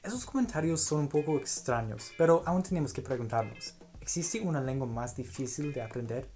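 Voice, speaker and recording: A man whose first language is English, narrating a scripted explainer on learning languages in a clear, explanatory way. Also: mid-range voice, expressive, brisk, darkish tone, soft background music. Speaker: educational video host.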